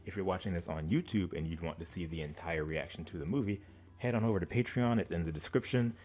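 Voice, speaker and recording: A man's voice: deep voice, fast talker, darkish tone. A man hosting a reaction video in informal, rambling, playfully exasperated chat to the camera.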